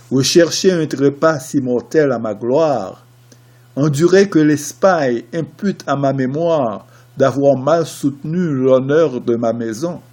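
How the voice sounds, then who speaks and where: A man playing a young nobleman torn between love and honour in a classical French verse tragedy, declaimed in a solemn, measured way. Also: medium pitch, dark tone, lightly acted. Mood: despairing.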